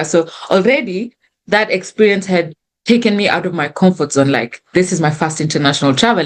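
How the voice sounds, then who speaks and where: A young woman with a Kenyan accent and a lowish voice shares her story in an unhurried, earnest way. Swahili is her first language, and she often restarts phrases as she reflects. She is speaking over a video call. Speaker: guest. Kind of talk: conversational storytelling.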